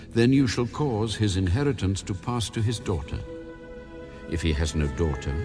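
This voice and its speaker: A very deep, solemn voice of God, commanding and authoritative, with an expressive rise and fall. It delivers lines in an audio Bible drama over prominent music. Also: male voice, heavily acted. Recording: music bed under the voice.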